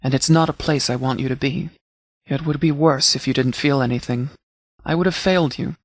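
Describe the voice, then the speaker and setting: An actor playing a stern but caring military commander in a video game, speaking in a measured, formal, authoritative way. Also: male voice, medium pitch, steady tone, dry recording, close to an everyday voice. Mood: regretful, tender. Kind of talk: scripted game dialogue.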